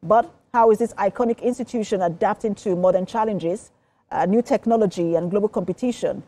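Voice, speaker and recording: A woman's voice: medium pitch, brisk, warm tone. A woman with a Nigerian accent presenting a scripted news introduction as an anchor.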